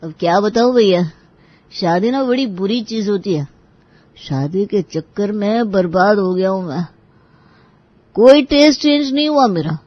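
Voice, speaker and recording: A man's voice: sits high, expressive, unhurried, pauses often, dry recording, highs cut off. A man voicing a meek, miserable young husband and younger brother in a Hindi cartoon, deferential and plaintive. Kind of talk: dramatic Hindi cartoon dialogue.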